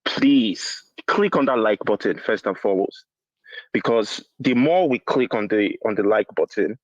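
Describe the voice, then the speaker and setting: A man's voice: on the low side, animated, unhurried, no room sound. A man with a Nigerian accent giving casual commentary as a caller to a show, heard over a phone call. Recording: dull, dry phone-call sound.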